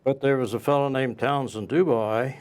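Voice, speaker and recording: A man speaking as a guest local historian in an interview, recounting the past off the cuff in a halting way with pauses mid-sentence. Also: mid-range voice, fairly even intonation, a little mellow.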